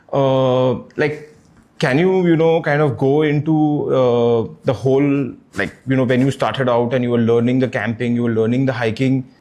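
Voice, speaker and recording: A man's voice: medium pitch, a little mellow. A man hosting a podcast, asking questions in a loose, rambling way with many fillers. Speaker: podcast host. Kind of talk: casual interview questions.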